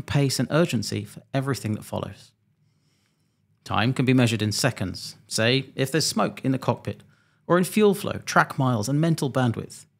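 A man around forty, an airline pilot, presenting a scripted explainer in a measured, methodical, slightly dry way, close to a desk microphone. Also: a little high, vocal fry. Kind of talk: scripted explainer narration to camera. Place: small home studio indoors.

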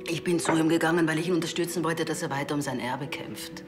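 A woman playing a guarded suspect under questioning in a TV drama, halting and defensive. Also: low voice, fairly even intonation, over music, close to an everyday voice.

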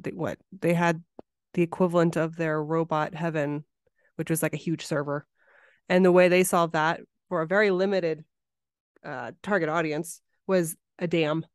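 A woman's voice: fairly low pitch, fairly even intonation, normal speed, clean tone, dry recording, studio quality. A woman hosting a podcast, speaking in an informal, chatty interview style. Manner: informal, conversational. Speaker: podcast host. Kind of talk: casual interview chat.

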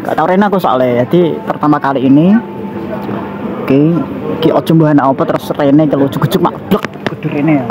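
A man's voice: fairly high pitch, a little fast. A young man, chatting loosely in a casual vlog filmed on a phone at an outdoor cafe terrace. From Indonesia. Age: young adult. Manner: loose, rambling, mixes in local slang. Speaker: vlogger. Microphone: handheld phone camera held close. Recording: muffled phone audio, loud outdoor traffic.

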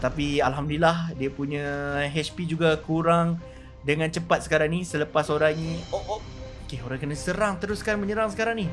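A Malaysian man with a high, bright voice gives excited gameplay commentary. He reacts in exclamations as he hosts, with music faintly playing behind him. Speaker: gaming video host.

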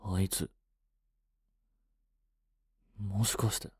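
A man playing a brusque teenage schoolboy, a gruffly caring childhood friend with blunt, casual speech, in a romantic voice drama. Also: low voice, steady tone, drawn-out words, no echo, studio quality, full character voice. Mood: puzzled, uneasy. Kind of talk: Japanese romantic situation voice drama.

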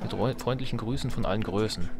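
A man's voice: on the low side, quick pace. A man giving casual gaming commentary, talking to himself in a playful, sarcastic manner. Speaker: let's player.

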